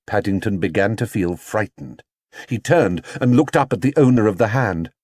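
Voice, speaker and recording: A man narrating a children's story as an audiobook reader, in an expressive scripted style. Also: fairly low pitch, normal speed, dry recording, clean studio sound.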